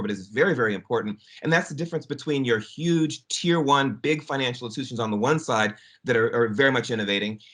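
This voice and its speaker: A man hosting an interview, asking polished, quick questions. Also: medium pitch, fairly bright tone.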